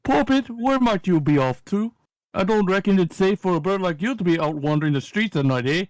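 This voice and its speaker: A man's voice: sits high, expressive, a little fast, a little mellow, no room sound, poor audio. A man voicing a chummy, protective young manservant with a Canadian accent and folksy, slangy phrasing that ends in "eh". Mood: teasing concern.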